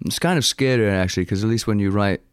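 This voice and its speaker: Soft-spoken young Welsh man, very deep. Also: clean tone, dry recording, studio quality. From Wales. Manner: soft-spoken, halting, laughs often. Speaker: musician guest. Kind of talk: casual interview chat.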